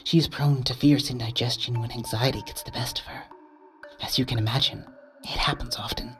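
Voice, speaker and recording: A man voicing a gentle male wind sprite familiar, speaking in a soft, breathy whisper. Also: mid-range voice, fairly even intonation, a little fast, over music, pitched above the performer's own voice, natural voice. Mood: solemn.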